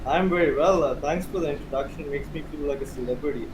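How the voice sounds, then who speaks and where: A man's voice: fairly high pitch, quick pace, low-quality recording. A man in his thirties with a Pakistani accent, explaining things as an interview guest and often rephrasing himself. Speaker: interview guest, cigar hobbyist. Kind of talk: casual interview chat. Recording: clear steady background noise.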